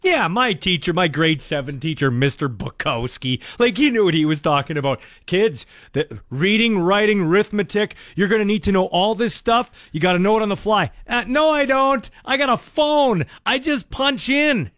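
A man's voice: high voice, animated, normal speed, hardly any pauses, a bit bright, no room sound, clean studio sound. A middle-aged man co-hosting a morning radio show, joking casually and laughing at himself mid-sentence. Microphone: studio microphone.

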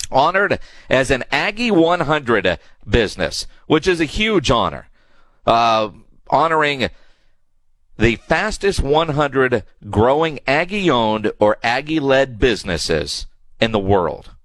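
A man's voice: mid-range voice, sing-song, a little slow, fairly bright tone, no echo. A man hosting sports radio in an easygoing, conversational way. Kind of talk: casual radio interview and sponsor reads.

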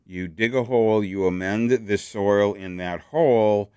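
Deep-voiced man from Wisconsin, halting. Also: warm tone, clear, resonant tone, no room sound. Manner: halting, many restarts and repeated words. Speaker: garden show co-host. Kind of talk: casual radio advice chat.

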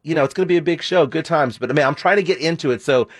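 A man's voice: a little high, no echo. Scratchy-voiced upbeat sports radio host man. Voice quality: scratchy from illness. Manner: upbeat, chatty, quick banter. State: hoarse, ill. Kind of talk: casual sports radio talk. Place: radio studio.

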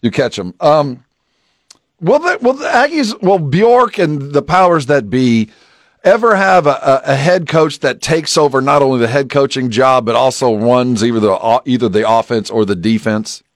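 A man's voice: medium pitch, expressive, normal speed, no echo, studio quality. A man hosting a sports radio show, putting questions to a guest in a conversational way. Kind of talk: conversational interviewing.

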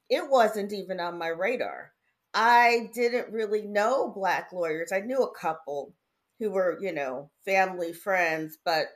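A middle-aged woman who is a judge, raised in Connecticut, telling her story in a relaxed, articulate way as an interview guest. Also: medium pitch. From Indiana, raised in Connecticut. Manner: articulate, measured, chatty with laughs.

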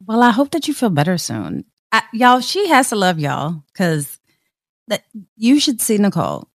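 A woman's voice: mid-range voice, very animated, normal speed, no room sound. Curious woman, dry close sound. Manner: curious, asks many questions. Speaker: podcast co-host. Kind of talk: casual chat.

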